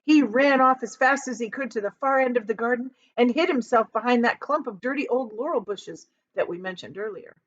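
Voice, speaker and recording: A woman reading a children's book aloud like a teacher, in an expressive storytelling style. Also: fairly high pitch, fairly quick, hardly any pauses, a little hiss or hum. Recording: slightly dull, lo-fi sound.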